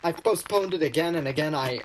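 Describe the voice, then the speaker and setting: A woman playing a prickly, nervous, lovestruck male shop clerk in a radio play, with a flustered, halting delivery. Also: low voice, a little hiss or hum, lightly acted, poor audio. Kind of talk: virtual radio play acting.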